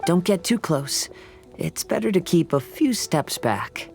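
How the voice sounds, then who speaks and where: A woman playing a calm, cautious, protective companion in a video game, speaking reassuringly. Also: lowish voice, measured pace, creaky, faint music behind, exaggerated voice. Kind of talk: video game character acting.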